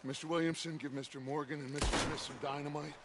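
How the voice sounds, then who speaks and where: An actor playing a theatrical, authoritative American outlaw gang leader in a western video game. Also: male voice, a little high, fairly even intonation, fast talker, very noisy, natural voice. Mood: commanding. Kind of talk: dramatic video game acting.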